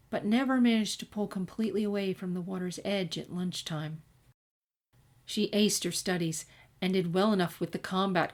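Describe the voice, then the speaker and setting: Lower-pitched woman reading a story. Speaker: fan fiction reader. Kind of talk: scripted story narration.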